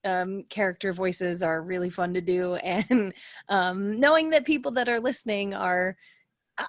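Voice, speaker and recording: A woman's voice: medium pitch, unhurried, no echo, studio quality. A woman in her thirties talking casually on a podcast, giggly and self-deprecating, as one of the show's players. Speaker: podcast cast member and player.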